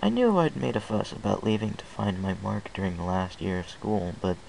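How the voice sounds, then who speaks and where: A man's voice: fairly low pitch, lively intonation, fairly quick, vocal fry, a little mellow, a little hiss or hum, rough recording. A man reading a fan fiction story aloud in the first person, in an earnest, plain way with small restarts.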